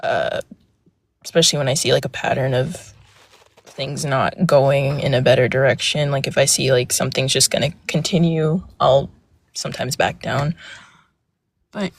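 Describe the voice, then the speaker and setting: Soft-voiced young woman, hesitant. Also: sits low, no echo. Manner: hesitant, many fillers and restarts. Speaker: guest speaker on a live panel. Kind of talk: casual personal account. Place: outdoors near a road.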